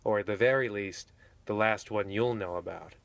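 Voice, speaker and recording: A man's voice: lowish voice, moderate pace, creaky, a bit bright, no echo. A man playing an ominous, genteel horror host, narrating a parody of old radio with pompous, theatrical deliberation. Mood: ominous, courtly.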